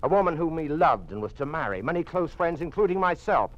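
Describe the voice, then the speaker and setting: Muffled old radio play, defiant american doctor, emphatic, voice swings up and down. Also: male voice, fairly high pitch, very animated, a little fast. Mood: defiant accusing. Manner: emphatic, accusatory, dramatic. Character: indignant male doctor defiantly challenging communist officials. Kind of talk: 1950s radio drama acting.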